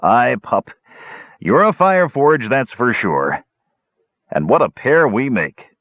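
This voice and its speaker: A man voicing a stubborn older dwarf uncle in a fantasy audiobook, gruff but fond, with folksy, teasing scolding. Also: mid-range voice, huge pitch swings, takes it slowly, no room sound, phone-quality sound, voice pushed up, full character voice. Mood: amused, fond. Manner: old-fashioned folksy speech, scolding and teasing.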